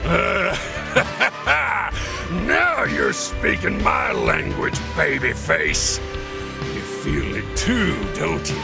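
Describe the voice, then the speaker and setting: A battle-crazed demon racer from a video game, with a very gravelly voice that swoops wildly up and down. He taunts theatrically, cackles and drags his words out very slowly, with music behind him. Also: fairly high pitch, huge pitch swings, full character voice. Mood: manic, taunting.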